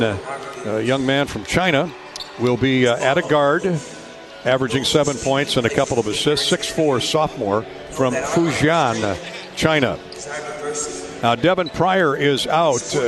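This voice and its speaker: Male sports radio announcer over music. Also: mid-range voice. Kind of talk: sports radio lineup commentary.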